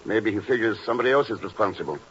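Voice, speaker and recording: An actor playing an unflappable secret agent in 1950s radio drama, clipped, wry and commanding. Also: male voice, fairly low pitch, animated, rapid-fire, a bit bright. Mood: cool, firm. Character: unflappable secret agent, brisk, blunt American-style radio hero. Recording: muffled 1950s radio recording, faint hiss.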